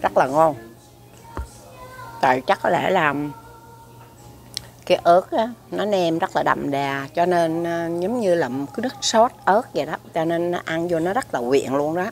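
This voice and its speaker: Low, gravelly Southern Vietnamese woman. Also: animated, moderate pace, soft background music. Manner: chatty, many fillers, repeats words. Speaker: food vlogger. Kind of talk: casual food review to camera. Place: restaurant table by a window, indoors. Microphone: camera across the table.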